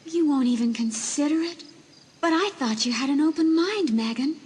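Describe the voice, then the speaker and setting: Brief: a woman playing a teen girl's ghost, hushed, coaxing, breathy edges, high voice. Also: moderate pace, close to an everyday voice. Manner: gentle pleading. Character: faint ghostly teenage girl spirit in a mirror.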